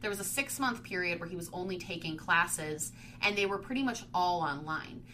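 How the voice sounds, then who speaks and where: A woman in her twenties co-hosts a relaxed conversational show. Her voice is bright and forward, slightly congested from sinus trouble, and she talks in loose, chatty runs full of fillers. There is a faint hiss of noise behind her. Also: on the low side, moderate pace. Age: 20s. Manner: chatty, fills speech with "like". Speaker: podcast co-host. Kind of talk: casual chat.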